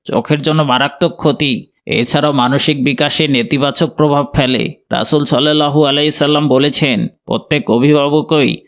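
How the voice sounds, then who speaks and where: A man's voice: on the high side, steady tone, normal speed, fairly bright tone. A man playing a grave doctor who explains and admonishes formally in a scripted cartoon story. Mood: admonishing. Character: grave male eye doctor advising a parent.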